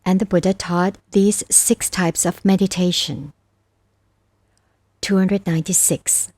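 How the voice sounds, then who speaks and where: A woman reading scripture as scripted narration, reciting verses with measured, deliberate phrasing. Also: on the low side, steady tone, darkish tone, no echo. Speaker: narrator of a Buddhist scripture audiobook.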